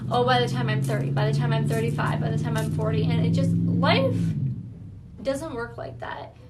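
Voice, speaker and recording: A woman's voice: a little high, heavy background noise. A woman giving an earnest, reflective self-awareness talk, thinking aloud as she goes. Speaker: wellness vlogger.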